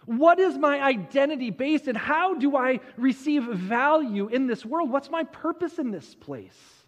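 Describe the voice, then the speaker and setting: High-voiced male preacher in a reverberant hall. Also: animated. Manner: storytelling with dramatic pauses and rhetorical questions. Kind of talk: sermon retelling a Bible story. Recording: muffled audio with long hall reverb.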